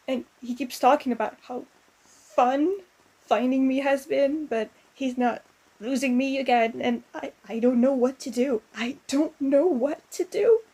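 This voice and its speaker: A woman playing a distraught, lonely young female ghost in an emotional audio roleplay, speaking haltingly with stammered repetitions. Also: high voice, warm tone, husky, exaggerated voice. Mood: panicked, tearful.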